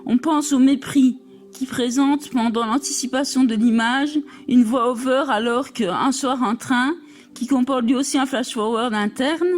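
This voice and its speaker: High-pitched woman reading aloud. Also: a little mellow. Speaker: reader of an academic text. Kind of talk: reading a scholarly text aloud.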